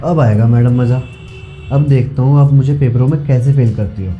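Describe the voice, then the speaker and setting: A man's voice: medium pitch, normal speed, highs cut off, faint music behind, lightly acted. A man playing a sneaky, easily cowed young male student in an acted short drama. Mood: smug. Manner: deferential, repeating madam.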